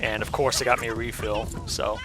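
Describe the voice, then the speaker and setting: A young man with a hoarse voice chats his way through a video game, rambling loosely and filling pauses with ums and uhs. His pitch sits in an ordinary middle range, and faint music plays underneath. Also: level tone, bright, forceful tone. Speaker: let's play host. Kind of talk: casual gameplay commentary.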